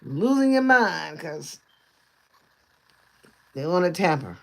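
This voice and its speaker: A man casually chatting on a livestream in a loose, rambling way, his voice going hoarse. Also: on the high side, big pitch swings, slow, rough recording. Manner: loose, rambling talk with easy laughs. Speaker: livestream host.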